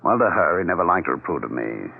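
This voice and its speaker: Skeptical, irritable husband, low husky voice, scoffing, 1970s radio drama, muffled vintage sound. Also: male voice, big pitch swings, quick pace, full character voice. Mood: irritated, skeptical. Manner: dismissive, blunt, swinging from smooth politeness to scoffing. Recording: muffled, narrow-band 1970s radio recording.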